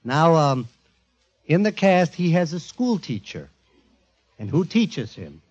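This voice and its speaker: A man presenting a show to a studio audience in an emphatic, informal, jokey warm-up style, on a 1940s radio recording. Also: a little high, sing-song, takes it slowly, dull audio. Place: before a live studio audience.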